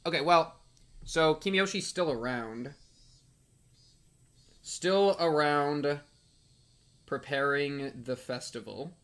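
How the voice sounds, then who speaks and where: A man hosting a live game playthrough, excitable and given to repeating his phrases, bantering with chat and reading game text aloud. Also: on the high side, takes it slowly, long pauses. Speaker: livestream host.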